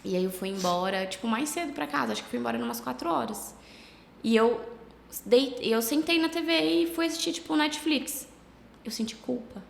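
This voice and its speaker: A Brazilian woman with a slight cold, a travel agency owner chatting casually as a podcast guest, relaxed and full of fillers. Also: a little high, brisk, gravelly. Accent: Brazilian Portuguese. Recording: echoey room with long reverb.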